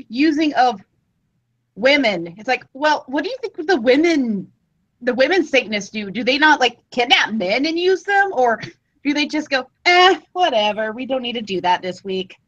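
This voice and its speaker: A woman in her thirties co-hosting a casual podcast, playful and quick to laugh. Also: high-pitched, very animated, a bit bright, dull audio. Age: 30s. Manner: playful, exclaiming, laughs often. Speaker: podcast co-host. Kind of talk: casual comedic chat.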